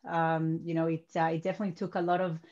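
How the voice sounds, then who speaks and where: A woman's voice: low voice, fast. Woman from Peru on a video call. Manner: animated, repeats words while building sentences. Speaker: guest. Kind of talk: interview over video call. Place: home office. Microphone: webcam on video call. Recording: dull lo-fi video call audio.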